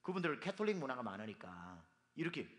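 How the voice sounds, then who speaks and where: A man preaching a sermon as pastor, his voice hoarse from dust, speaking emphatically. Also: medium pitch, big pitch swings, fast talker, a bit bright. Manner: emphatic, with sung and quoted lines. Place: church sanctuary. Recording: long reverb of a hall.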